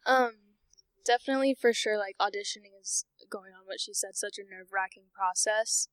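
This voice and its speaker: A teenage girl, a high school senior and singer, giving encouraging advice with many fillers. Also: brisk, fairly bright tone, clean tone, dry recording, rough recording. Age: teens.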